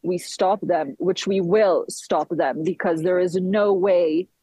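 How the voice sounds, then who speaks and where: A woman from Switzerland giving live political commentary as a guest, speaking haltingly with frequent pauses. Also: lowish voice, mellow, slightly rough, dry recording, poor audio. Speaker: guest commentator reporting from a conference town.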